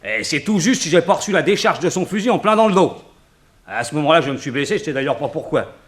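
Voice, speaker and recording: An actor playing a blunt, grudge-bearing country farmer in a 1960s French radio drama, with rustic colloquial speech. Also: male voice, fairly high pitch, animated, fast, close to an everyday voice. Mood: grudging.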